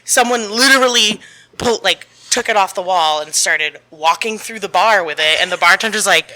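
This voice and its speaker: A woman's voice: mid-range voice, normal speed, bright, forceful tone, poor audio. A woman co-hosting a podcast in casual, informal chat, giggly and swearing freely. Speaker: podcast co-host.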